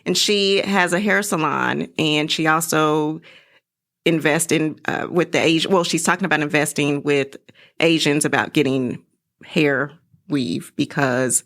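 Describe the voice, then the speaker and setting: A middle-aged woman chatting casually as an interview guest, telling her story in an animated way. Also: sits low, measured pace.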